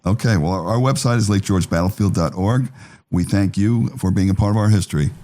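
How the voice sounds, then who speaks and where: Low, mellow male radio host. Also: brisk. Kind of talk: interview hosting.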